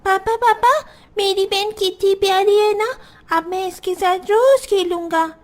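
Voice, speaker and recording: Animated family story: woman playing eager little boy, very high pitch, affectionate and excited. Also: measured pace. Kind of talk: Hindi cartoon voice acting.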